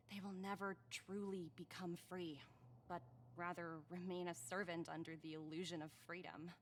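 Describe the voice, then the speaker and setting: A woman's voice: on the low side, a little hiss or hum, close to an everyday voice. A woman voicing a poised, smug young female corporate executive in a video game, polished and politely condescending. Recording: music behind the voice.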